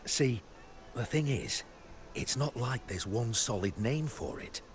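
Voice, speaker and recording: A man playing a weathered, skeptical camp veteran in a video game, with a gravelly voice and folksy, clipped phrasing. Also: mid-range voice, lively intonation, takes it slowly, a little hiss or hum. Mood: skeptical, advising. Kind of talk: scripted video game dialogue.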